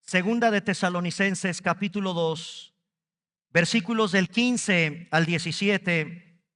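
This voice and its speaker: A man's voice: high voice, takes it slowly, a bit bright, in a large hall. A man with a Mexican Spanish accent preaching a sermon at a church service, stretching key words and speaking straight to the congregation. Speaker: pastor.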